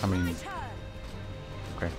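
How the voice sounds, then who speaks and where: A man's voice: takes it slowly, soft background music. A young man giving casual gameplay commentary, rambling thoughtfully and often correcting himself mid-sentence. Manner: rambling, self-correcting, bookish. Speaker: streamer.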